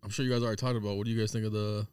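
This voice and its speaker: A man's voice: fairly low pitch, level tone, rapid-fire, darkish tone, smooth, no echo, studio quality. A man in slangy, inquisitive casual conversation as a guest on a sneaker podcast. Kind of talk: casual banter among friends about sneakers. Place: close-miked dry podcast studio.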